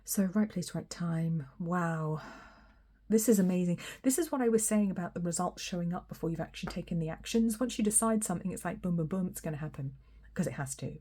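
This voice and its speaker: A woman with a hoarse, croaky voice after a cold, giving a chatty, encouraging tarot reading straight to the viewer. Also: on the low side, animated, a little fast, dark tone. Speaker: tarot reader. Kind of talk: casual pick-a-card tarot reading.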